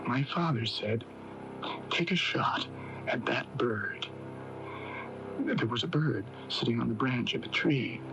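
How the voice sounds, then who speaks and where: An actor playing a troubled, withdrawn young man in a radio mystery drama, haunted and evasive, speaking haltingly and defensively. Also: mid-range voice, background noise. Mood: anguished, halting. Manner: halting, defensive, repeating himself. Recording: muffled 1980s AM radio tape, music behind.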